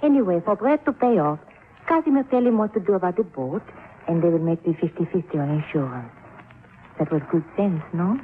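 A woman's voice: fairly low pitch, expressive, a little fast, a little hiss or hum, close to an everyday voice. Actress as coaxing informant woman, French accent, broken English, matter-of-fact, radio drama, narrow tinny sound. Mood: confiding. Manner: simple broken English with tag questions. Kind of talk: 1950s radio drama acting. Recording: narrow phone-like 1950s radio recording.

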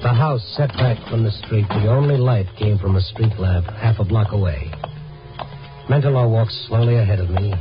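An actor playing a probing newspaper reporter in a 1950s radio drama, urgent, coaxing and moody. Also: male voice, lowish voice, normal speed, close to an everyday voice. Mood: moody narrating. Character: probing night-beat newspaper reporter, a grown man, narrating. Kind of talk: 1950s radio drama acting and first-person narration. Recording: muffled old radio broadcast, loud music behind.

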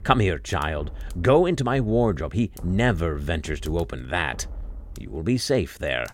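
A man's voice: low-pitched, big pitch swings, moderate pace, a little hiss or hum. A man voicing a frightful giantess, weary, bossy and scheming, in a theatrical storybook reading. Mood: approving, bossy. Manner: theatrical, commanding.

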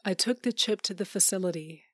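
A woman's voice: mid-range voice, fairly quick, fairly bright tone, dry recording, close to an everyday voice. A woman playing a wry, loyal, rule-breaking sister in a sci-fi audio drama, casual and frank.

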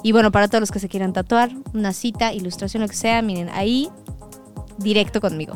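A woman, a tattoo artist guest in a radio studio, talking in a relaxed, chatty way and joking around. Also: mid-range voice, fast talker, soft background music. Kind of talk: casual radio interview.

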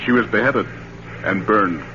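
An actor in 1940s radio style, playing a genial, worldly professor with a wry, leisurely manner. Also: male voice, on the low side, normal speed, loud music behind the voice, close to an everyday voice. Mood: wry, relishing. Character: genial, worldly English professor relishing old murder cases. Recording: noisy, dull 1940s radio recording.

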